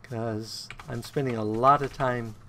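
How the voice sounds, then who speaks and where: A man giving casual gameplay commentary on his own play, a bit croaky today, counting items aloud and humming to himself. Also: medium pitch, fairly even intonation, measured pace, sharp tone, slight background noise. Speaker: game streamer.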